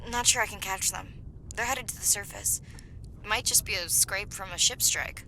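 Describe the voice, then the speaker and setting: A woman playing a thoughtful, earnest deep-sea scientist in a game, speaking naturally and reflectively. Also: moderate pace, pushed and bright, a little hiss or hum, low-quality recording. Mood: focused. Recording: music under the voice.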